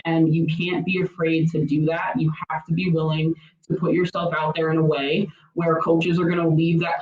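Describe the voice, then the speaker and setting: Female former coach as interview guest, earnest, wordy explainer who rephrases often; echoey, slightly muffled audio. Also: sits low. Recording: roomy, dull lo-fi audio.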